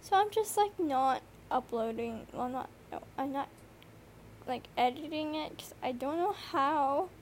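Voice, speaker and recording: A woman's voice: high-pitched, expressive, a little slow. Teenage girl, slight lisp, tired, chatty, swears, earbud mic, bedroom, faint background noise. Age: teens. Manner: rambling, swears often, lots of fillers. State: tired from lack of sleep. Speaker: podcast host. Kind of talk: casual solo podcast chat. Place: noisy bedroom. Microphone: earbud microphone.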